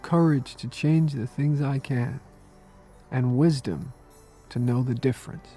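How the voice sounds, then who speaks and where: An actor playing a mild, detached war veteran held captive, speaking in a subdued, plain way in an intimate radio drama. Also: male voice, medium pitch, steady tone, measured pace, dark tone, soft background music, close to an everyday voice. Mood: reflective.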